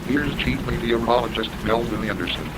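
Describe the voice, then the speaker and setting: A man's voice: medium pitch, fairly quick, close to an everyday voice. A man playing a formal TV station announcer reading a scripted introduction. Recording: very noisy, rain and wind-like noise.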